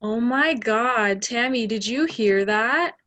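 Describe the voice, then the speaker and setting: A young woman in an amateur stage play, acting a bossy high school bully with exaggerated mock sympathy. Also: takes it slowly, fairly bright tone, a little hiss or hum. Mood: gloating. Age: young adult. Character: snide, bossy high school mean girl.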